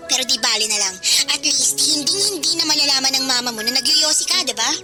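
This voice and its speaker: A scheming teenage girl in a radio drama. She speaks in a very high voice, flippant and full of sass. Loud music runs behind her. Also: expressive, fairly quick. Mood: annoyed, scheming. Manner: sassy, breezy, scheming. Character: sly, flippant teenage girlfriend, a bad influence. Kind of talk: Tagalog radio drama acting.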